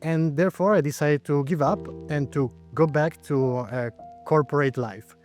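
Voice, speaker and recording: A man's voice: a little high, moderate pace, a little mellow, faint music behind. Italian-accented man in his forties. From Italy. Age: 40s. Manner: thoughtful, with frequent hesitations. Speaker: startup founder guest. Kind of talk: interview answers.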